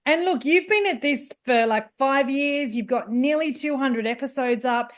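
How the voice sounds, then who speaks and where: A woman's voice: sits high, moderate pace. A woman hosting a podcast interview in a casual, encouraging way, asking open questions. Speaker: podcast host.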